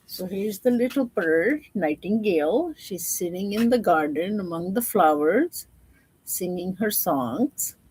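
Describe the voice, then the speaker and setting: Slow-paced woman reading children's stories. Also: medium pitch, animated, a little mellow, slightly rough, rough recording. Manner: explanatory, addressing young listeners. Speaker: storyteller. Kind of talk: children's storybook read-aloud with simple explanations.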